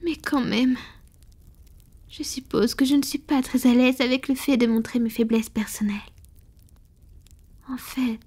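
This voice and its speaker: A woman plays a proud, ancient female vampire who is tender with a lover. The voice is slightly high and very breathy, mostly whispered. The delivery is slow and intimate, in the manner of a romantic ASMR roleplay. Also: darkish tone. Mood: apologetic, vulnerable. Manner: slow, intimate, affectionate murmuring.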